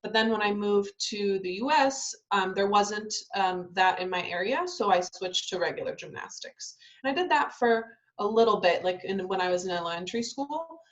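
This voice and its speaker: A young woman, a ballet dancer, chatting easily over a video call. She talks in a relaxed way, full of ums and restarts, at a middle pitch. The audio is slightly dull and lo-fi. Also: normal speed, few pauses. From Italy, raised in Southern California.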